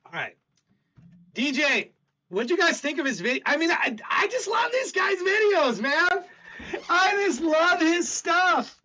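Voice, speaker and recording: An older man hosts a livestream and talks casually to his chat. His voice is loud, bright and forceful, and he stammers as he rambles. The recording sounds dull and lo-fi. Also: very high-pitched, sing-song, a little slow. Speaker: stream host.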